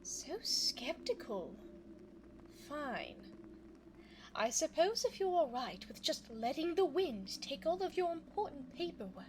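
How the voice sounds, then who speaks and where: A woman playing a scheming, seductive female vampire in an ASMR audio roleplay, speaking formally and teasingly in a breathy voice that often drops toward a whisper. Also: high-pitched, big pitch swings, noisy background. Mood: smug, coaxing. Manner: formal, coy, teasing, drawn-out phrasing. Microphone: close binaural microphone.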